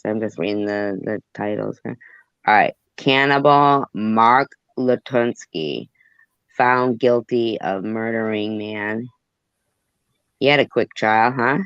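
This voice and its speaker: A man talks slowly in a low voice, rambling and trailing off between thoughts. The sound is dry and muffled. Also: fairly bright tone, smooth, dry recording. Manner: rambling, trailing off, muttering asides. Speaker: host. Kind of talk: casual chat, reading news aloud. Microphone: phone.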